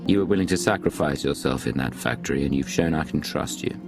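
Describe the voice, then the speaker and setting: Deep, gravelly male agent. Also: fast talker, music in the background. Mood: measured. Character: composed, pragmatic male agent leading an alliance. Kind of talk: video game acting.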